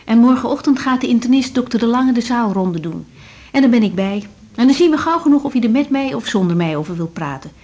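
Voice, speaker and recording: A woman's voice: fairly high pitch, animated, quick pace, a little mellow. A young woman acting a patient, tactful doctor in a 1980s naturalistic radio drama, explaining things carefully and reassuringly. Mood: calm, careful. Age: young adult.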